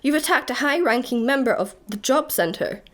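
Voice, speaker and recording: A woman's voice: sits high, animated, normal speed. Woman playing a pompous female job centre bureaucrat. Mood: indignant. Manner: pompous, jargon-laden. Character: officious, contemptuous female job centre bureaucrat, easily cowed. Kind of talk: sci-fi comedy radio play acting.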